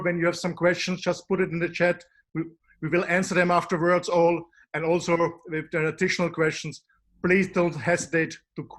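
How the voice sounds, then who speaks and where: A man from Austria with an Austrian German accent, presenting in a webinar as a business coach, calm and suggestive. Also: sits high, a little hiss or hum.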